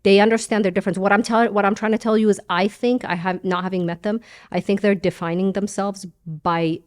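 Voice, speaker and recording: Lively, joking woman guest from Iran. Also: medium pitch. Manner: animated, joking, quick asides. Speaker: guest journalist. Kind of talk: casual podcast conversation.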